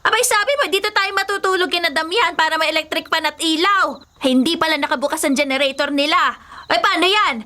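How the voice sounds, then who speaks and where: A woman's voice: very high-pitched, fast talker, bright, forceful tone. A woman playing an irritable, demanding middle-aged aunt in a Filipino radio drama, pushy and full of complaints. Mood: indignant.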